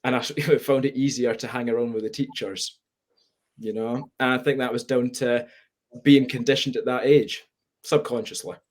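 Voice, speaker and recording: A man's voice: mid-range voice, fairly even intonation, normal speed. Reflective young Scottish man. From Spain. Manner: candid, reflective. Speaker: guest. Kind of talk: webinar conversation.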